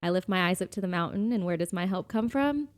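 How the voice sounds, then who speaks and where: A woman's voice: fairly low pitch, animated, fast. A woman co-hosting a podcast in an earnest, emphatic, conversational style, often checking in with "you know". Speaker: podcast co-host. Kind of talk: casual conversational podcast discussion.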